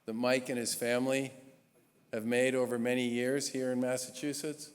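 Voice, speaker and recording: A man in his sixties delivers an earnest gala introduction at a podium mic, leaning into key phrases and pausing between them. His mid-pitched voice carries a long reverb from a large church hall. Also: little pitch movement. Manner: earnest, emphatic speaking with measured pauses. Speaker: state governor introducing an award honoree. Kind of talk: formal introduction speech at a gala.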